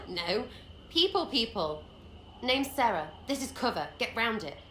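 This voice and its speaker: A woman's voice: fairly high pitch, very animated, measured pace, bright, forceful tone, full character voice. A woman playing a cheeky young English commoner rogue in a video game, slangy, blunt, mocking and rambling. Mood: cheeky, brash. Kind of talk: video game dialogue acting. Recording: roomy echo, faint background noise.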